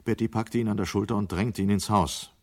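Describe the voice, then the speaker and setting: A man's voice: fairly low pitch, steady tone, fairly quick, lightly acted. A man as a sober storyteller, narrating a dystopian radio drama from a script. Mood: measured.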